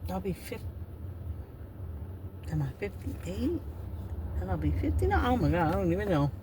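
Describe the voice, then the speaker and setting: A woman's voice: on the low side, sing-song, normal speed. A woman in her fifties doing an oracle card reading, talking in a rambling, chatty way that often interrupts itself. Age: 50s. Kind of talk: casual spiritual card reading. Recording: distant mic with faint noise.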